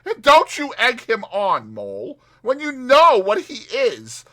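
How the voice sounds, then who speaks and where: A man's voice: extremely high pitch, wildly swooping pitch, slow pace, sharp tone, voice pushed up, exaggerated voice. A man voicing a sensible, fretful water rat in a storybook, earnest and advising. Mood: exasperated.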